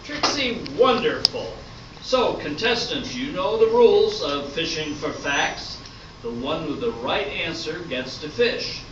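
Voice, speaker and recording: Casting: man as showy, upbeat quiz host, fairly high voice, amateur radio-play comedy; echoey room. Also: expressive, measured pace, a bit bright. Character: upbeat, patient male game show host. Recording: roomy, distant, noisy dull stage recording.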